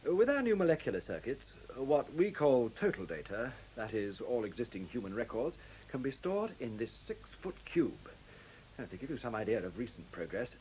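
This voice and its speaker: Male actor as fussy computer engineer, animated intonation, polite, hesitant, radio drama, faint hiss. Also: medium pitch, lightly acted, low-quality recording. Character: eager, fussy male computer engineer showing visitors around. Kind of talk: radio play acting.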